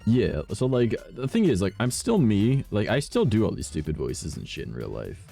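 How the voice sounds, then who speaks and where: A man streaming a game, chatting casually and swearing a lot, his voice rough today, swinging between low mumbles and loud bursts. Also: huge pitch swings, moderate pace, soft background music.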